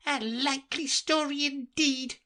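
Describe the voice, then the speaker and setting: Fretful, indignant storybook pigeon, shrill and high-pitched. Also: female voice, unhurried, pushed and bright, heavily acted. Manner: shrill, accusing, sobbing complaints. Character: fretful shrieking female pigeon, indignant and suspicious.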